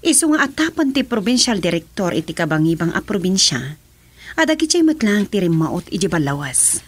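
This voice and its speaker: A woman acts a serious, grave character in a radio drama. Her voice is somewhat low, with a husky, breathy texture. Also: sing-song, fairly quick. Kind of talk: radio serial drama acting.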